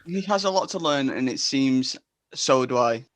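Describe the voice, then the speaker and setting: Actor as deadpan, muddled man, medium pitch. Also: normal speed, close to an everyday voice. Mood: grumbling. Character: grumbling, muddled male studio worker Albert.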